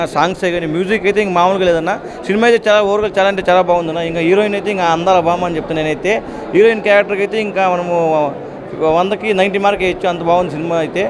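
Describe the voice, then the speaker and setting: Very rapid-talking high-voiced man. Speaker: moviegoer giving a film review. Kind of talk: casual public review to camera.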